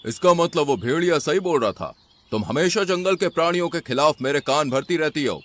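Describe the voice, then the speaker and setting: A man voicing an angry, commanding lion king in an animated fable, accusing and authoritative. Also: fairly high pitch, a little fast. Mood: furious.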